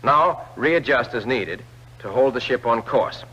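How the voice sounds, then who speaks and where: Bright-voiced man narrating vintage training film. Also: medium pitch, expressive, unhurried. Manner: clear, instructive, addressing the pilot directly. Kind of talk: scripted instructional narration, 1940s military training film. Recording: old film soundtrack, dull lo-fi band.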